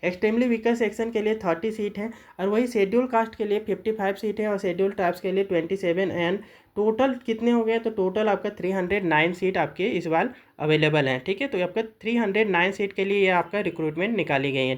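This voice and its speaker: A woman's voice: medium pitch, fairly quick. A woman with an Indian accent presenting an informal, instructional explainer, often checking understanding with short tag phrases. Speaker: presenter of government job notifications. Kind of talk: informal explainer of a recruitment notice.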